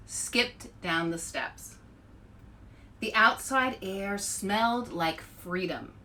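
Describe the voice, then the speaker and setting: Woman, read-aloud host, higher voice, emphatic storybook delivery, distant mic, faint background noise. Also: pushed and bright. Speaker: host and author reading picture books aloud. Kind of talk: read-aloud for children with asides to viewers.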